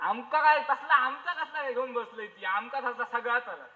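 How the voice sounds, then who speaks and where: A man's voice: very high-pitched, moderate pace. A man playing a fussy, impatient rural family member in a comic stage play, nagging and repeating commands in a Malvani Konkan Marathi accent. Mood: fussy, insistent. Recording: dull hall recording, loud music, long reverb.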